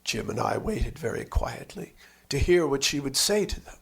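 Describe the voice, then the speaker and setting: A man narrating a literary memoir expressively, with measured, dramatic phrasing and lingering words. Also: medium pitch, sing-song, vocal fry, husky.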